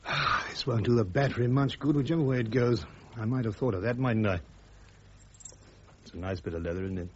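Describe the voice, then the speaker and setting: Actor as incisive English army officer, dull recording. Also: male voice, medium pitch, a little fast, long pauses, a little hiss or hum, natural voice. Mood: terse, subdued. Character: defiant, sharp British army major defending himself at court-martial. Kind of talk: radio drama acting. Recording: dull, muffled radio drama recording.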